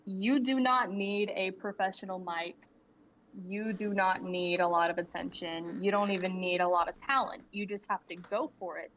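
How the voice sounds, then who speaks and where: A woman's voice: mid-range voice, moderate pace, fairly bright tone, slight background noise. A young woman, a student animator, answering interview questions in a candid, rambling way with easy laughs. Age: young adult. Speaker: guest, indie animator and creator. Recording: slightly muffled lo-fi audio with faint background ambience.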